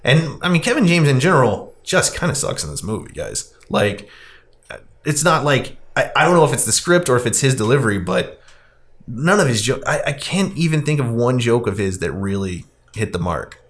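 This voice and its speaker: A man chats casually about films as a podcast host. His pitch sits in the middle for a male voice. He sounds conversational and opinionated. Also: very animated, moderate pace, fairly bright tone. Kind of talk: casual film discussion.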